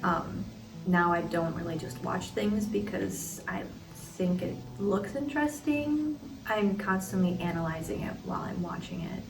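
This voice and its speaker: A woman's voice: lowish voice, a little slow, noisy background. A twenty-year-old woman giving frank, casual interview answers with ums and likes. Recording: roomy, distant mic, music underneath.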